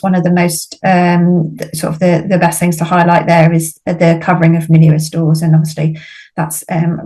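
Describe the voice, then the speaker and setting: A woman presenting in an online webinar over a video call, halting and using many ums. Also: lowish voice, mellow. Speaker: webinar speaker.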